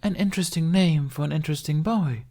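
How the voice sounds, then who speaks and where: Male narrator as seductive mermaid woman, raised pitch, coy, purring, teasing, fantasy audiobook. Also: fast talker, mellow. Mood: sly, teasing.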